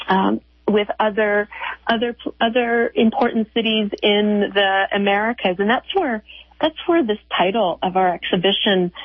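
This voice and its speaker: Female interview guest, mid-pitched, heard through a narrow, dry phone connection, explaining thoughtfully and haltingly. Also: husky, no echo. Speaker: museum chief curator, interview guest. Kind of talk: unscripted explanatory interview answers. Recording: narrow tinny phone line.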